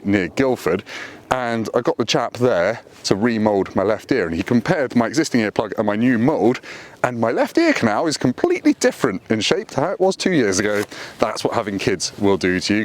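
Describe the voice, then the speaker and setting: A low-pitched man talks to camera from a roadside lay-by. He is casual and chatty, with a dry, self-deprecating humour. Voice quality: deep voice. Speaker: motorcycle vlogger. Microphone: camera on tripod.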